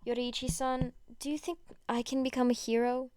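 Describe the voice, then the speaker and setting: Here is a woman acting out a young schoolboy from a hero story, high and squeaky. He is anxious and starstruck, stammering eagerly in a clean voice with a very dry sound. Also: little pitch movement, moderate pace, darkish tone, no echo, lightly acted. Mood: shy, earnest.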